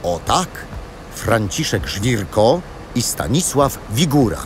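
An actor playing a cheerful grandfather who guides children through history in an audio drama, explaining things in an animated storytelling way. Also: medium pitch, slow, noisy background. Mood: enthusiastic.

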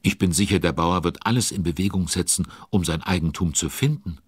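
A deep-voiced avuncular narrator of a children's radio play. His voice is husky and recorded very dry, and he tells the tale in a chatty, involved way. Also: brisk, no echo. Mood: involved, concerned. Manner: chatty, involved storyteller commenting on events. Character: avuncular, concerned male storyteller of a children's tale.

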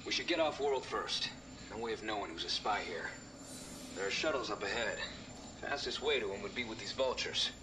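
An actor playing a gruff, evasive soldier in a video game, clipped and colloquial. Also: male voice, lowish voice, lively intonation, brisk, background noise, natural voice. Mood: wary. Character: gruff, evasive male soldier, fellow covert operative.

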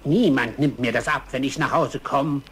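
An actor playing a sly animal hunter who poses as a jovial professor, oily, theatrical and mock-polite, in a cartoon dub. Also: male voice, medium pitch, moderate pace, very noisy, natural voice. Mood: jovial, amused. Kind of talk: German-dubbed cartoon dialogue.

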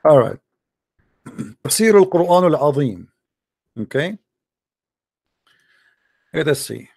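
Male debate host, higher-pitched, soft-toned yet insistent, argumentative, closely recorded. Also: sing-song, takes it slowly, gravelly, breathy edge, no echo, studio quality. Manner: insistent, interrupting, repeats himself. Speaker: debate host on a live religious stream. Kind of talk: argumentative debate, quoting Arabic scripture.

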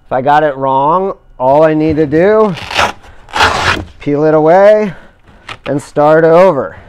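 A middle-aged man calmly explaining each step of a hands-on installation as he works. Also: fairly high pitch, dull audio, background noise. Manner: careful, measured explaining while working with his hands. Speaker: instructor demonstrating kayak outfitting. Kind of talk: step-by-step how-to demonstration.

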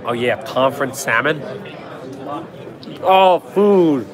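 A young man hosting a casual livestream from a trade show floor, answering chat in a quick, offhand, joking way, his voice worn from a cold. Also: mid-range voice, expressive. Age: young adult. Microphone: phone held at arm's length. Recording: noisy crowded convention hall. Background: crowd chatter.

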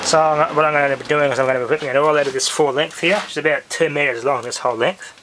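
A man with an Australian accent gives a casual hands-on demonstration in a halting, rambling way, often trailing off. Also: a little high, steady tone, a bit bright, slight background noise. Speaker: hobbyist radio vlogger. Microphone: handheld camera's built-in microphone.

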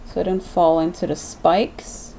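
A woman casually commenting on her own gameplay as she plays, chatty and self-deprecating, thinking out loud. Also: on the low side, level tone, measured pace, background noise. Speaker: let's play gamer.

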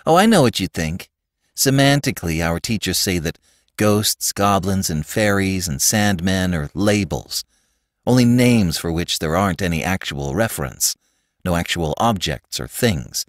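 A man's voice: low voice, expressive, moderate pace, no echo, studio quality. A man voicing a stubborn, irritable young schoolboy in a storybook reading, defiant and petulant. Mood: insistent, know-it-all.

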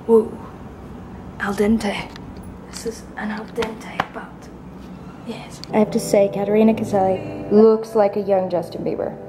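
A woman's voice: medium pitch, level tone, measured pace, noisy background. A woman in her twenties, goofing her way through a silly home cooking show in a small kitchen, filmed on a handheld camera. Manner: playful, silly, self-mocking. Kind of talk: goofy mock cooking show. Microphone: handheld camera at arm's length. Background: sixties French pop playing.

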